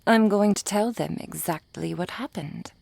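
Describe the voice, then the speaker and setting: A woman acting a composed, refined, cold-hearted merchant in an ASMR roleplay, with formal, precise, measured diction. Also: lowish voice, vocal fry, a little mellow, slightly rough, dry recording, heavily acted. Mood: cool, matter-of-fact. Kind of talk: ASMR roleplay audio drama.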